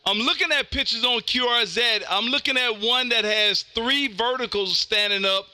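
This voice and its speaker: An American man hosting a ham radio stream, talking casually in a loud, animated, slangy way. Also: extremely high pitch, moderate pace, no room sound. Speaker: amateur radio operator hosting a live stream. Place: home radio room.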